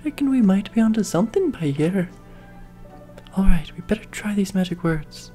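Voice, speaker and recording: A man's voice: high voice, expressive, moderate pace, dark tone, pitched above the performer's own voice. A man raising his voice to play a plucky young adventurer companion, reading game dialogue in a folksy, lilting way. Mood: curious. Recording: faint game music behind.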